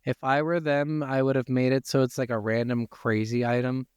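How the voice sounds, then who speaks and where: A man's voice: medium pitch, little pitch movement, normal speed, clean tone, no echo, lo-fi sound. A man co-hosting a gaming podcast, giving blunt opinions in casual, informal speech. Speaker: video game podcast co-host.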